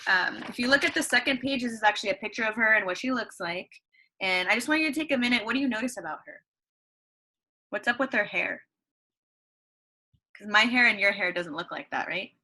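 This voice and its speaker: Lady teacher doing a read-along, lots of ums and questions for the kids, bright voice, middle pitch. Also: animated, a little fast, pauses often, poor audio. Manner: chatty, lots of fillers and questions to children. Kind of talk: reading a story aloud to a class.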